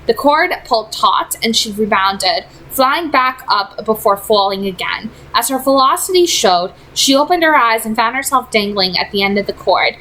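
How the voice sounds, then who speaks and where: A woman's voice: on the high side, expressive, normal speed, hardly any pauses, a bit bright, poor audio. A woman reading fiction aloud as a storyteller, in scripted narration. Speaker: audiobook-style reader.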